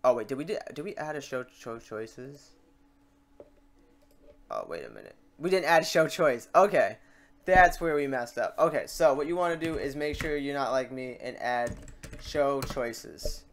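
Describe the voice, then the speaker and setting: A man's voice: medium pitch, animated, moderate pace. Casual man rambling through a tutorial. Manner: rambling, talks through mistakes as he works. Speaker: tutorial host. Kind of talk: casual screen-recorded software tutorial.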